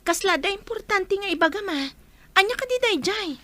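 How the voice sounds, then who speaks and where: A woman playing a brisk, take-charge young friend in an amateur audio drama, with an Ilocano accent. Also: extremely high pitch, lively intonation, pushed and bright, heavily acted. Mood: indignant.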